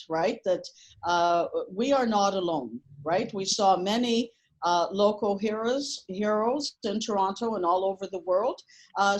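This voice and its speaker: A woman's voice: mid-range voice, poor audio. A woman who grew up in Portugal, a school principal answering interview questions earnestly, with many ums and uhs.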